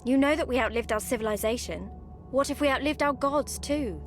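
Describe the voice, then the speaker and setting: An actress playing a bitter, sarcastic immortal sister bound as a statue, in a video game. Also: female voice, sits high, brisk, music in the background, natural voice. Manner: sarcastic, cutting. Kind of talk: video game character acting.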